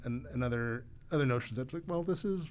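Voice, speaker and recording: A man speaking as an interview guest, thoughtful and halting, choosing his phrases as he goes. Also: mid-range voice, a little mellow, slight background noise. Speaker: podcast guest, voice actor. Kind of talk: casual interview chat.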